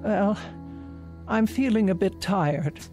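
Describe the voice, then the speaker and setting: An actress playing a frail, fretful, lonely old woman in a radio drama, with a creaky voice. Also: mid-range voice, slow, a little mellow, soft background music, close to an everyday voice. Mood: uneasy, weary. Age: older.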